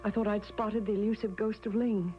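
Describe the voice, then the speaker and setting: A woman's voice: mid-range voice, fairly even intonation, fairly quick, soft background music, lightly acted. Actress as refined woman, dull radio sound. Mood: hushed suspense. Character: refined, excitable wife telling her ghostly tale. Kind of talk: radio drama acting.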